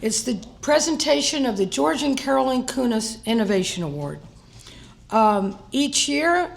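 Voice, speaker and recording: A woman giving an award presentation at a gala, hoarse and losing her voice, often clearing her throat. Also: high voice. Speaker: society vice president presenting an award. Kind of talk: award ceremony speech.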